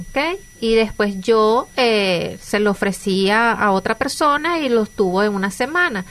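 A woman's voice: a little high, fairly bright tone. A woman with a Venezuelan accent hosting a radio conversation. She talks in an earnest, chatty way and restarts her phrases often. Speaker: radio host. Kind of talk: conversational interview.

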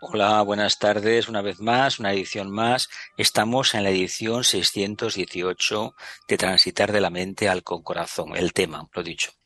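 Bright-voiced man from greeting listeners. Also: fairly low pitch, fairly even intonation, moderate pace, soft background music. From Spain. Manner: good-humored, laughing at his own slip. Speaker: radio panelist.

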